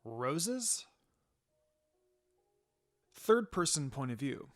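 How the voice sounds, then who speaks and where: A man's voice: a little high, very animated, drawn-out words. A man narrating a scripted fan fiction story as a storyteller. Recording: music behind the voice.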